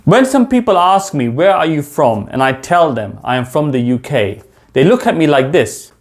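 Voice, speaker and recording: A man in his thirties from the United Kingdom, with a London accent, teaching a beginner English lesson to camera from a small room at home and spelling out slow, deliberate example sentences. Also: on the high side, very animated.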